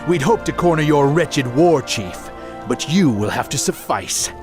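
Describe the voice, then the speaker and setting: An actor playing a contemptuous human military villain in a fantasy game, with a disdainful, theatrical delivery. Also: male voice, on the high side, expressive, measured pace, over music. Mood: scornful, impatient. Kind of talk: fantasy game character acting.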